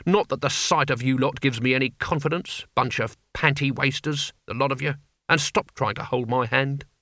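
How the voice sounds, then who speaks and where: A man acts out a cantankerous old wizard from a fantasy storybook. He snaps out orders with sharp contempt, in a bright, forceful voice with very little room sound. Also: medium pitch, moderate pace, dry recording, studio quality. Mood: bossy, scornful. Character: bad-tempered, bossy old male wizard.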